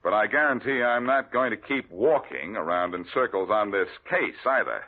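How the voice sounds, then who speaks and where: An actor playing a confident, breezy amateur detective in 1940s American radio style, on an old recording. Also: male voice, on the low side, animated, normal speed, narrow, tinny audio, natural voice. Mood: probing. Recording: noisy, band-limited old radio recording.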